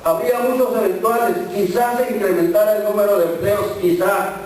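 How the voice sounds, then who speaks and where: A man's voice: sits high, fairly even intonation, darkish tone. A man who represents a farm workers' union, giving an earnest speech at a public forum. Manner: measured, earnest speechmaking with fillers. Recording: echoey room, voice from far off, faint noise.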